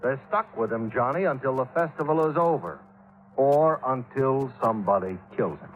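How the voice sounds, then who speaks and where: A man's voice: medium pitch, expressive, normal speed, lightly acted. An actor playing a worried, exasperated businesslike insurance man in a 1950s radio drama. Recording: dull lo-fi 1950s radio recording.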